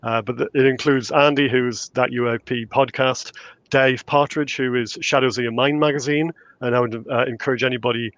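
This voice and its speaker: A man in his fifties speaks with a Geordie accent and a gravelly edge to his voice. He talks haltingly, filling gaps with ums and restarts. The sound is close and dry. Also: mid-range voice, fairly even intonation, a bit bright, dry recording. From England. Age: 50s. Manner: hesitant, many fillers and restarts. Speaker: guest researcher and author. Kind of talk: casual interview chat.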